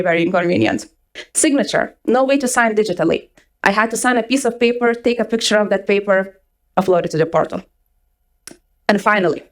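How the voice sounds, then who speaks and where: A young woman from Lithuania with a Lithuanian accent, giving a lively conference talk with a personal story to a live audience. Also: medium pitch. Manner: energetic, chatty, repeats words for emphasis. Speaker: conference speaker. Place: conference hall before an audience.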